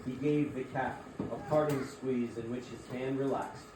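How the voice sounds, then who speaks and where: A man's voice: mid-range voice, steady tone, a little slow, noisy background. Echoey male story narrator. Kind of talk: scripted dramatic story narration. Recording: echoey room, distant mic.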